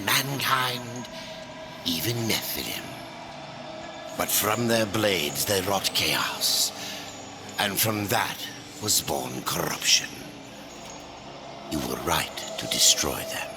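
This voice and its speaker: Slow, deep, cryptic ancient elder. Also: male voice, background noise. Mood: knowing, grave. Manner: cryptic, measured, solemn. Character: ancient, knowing, cryptic male guide, grandfatherly elder. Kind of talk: dramatic game cutscene acting.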